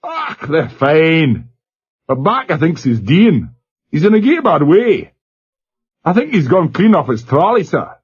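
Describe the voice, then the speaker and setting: Throaty young Glasgow sailor. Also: male voice, a little high, very animated, unhurried, pitched above the performer's own voice, heavily acted. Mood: shaken. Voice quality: throaty, husky, slurred. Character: young male Glasgow seaman, throaty, truculent. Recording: dull lo-fi recording.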